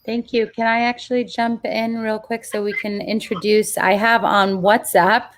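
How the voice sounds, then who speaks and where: A woman's voice: medium pitch, level tone, normal speed. A woman co-hosting a podcast panel, introducing guests with polite, brief prompts. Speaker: podcast co-host, moderator. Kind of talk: moderating a panel discussion.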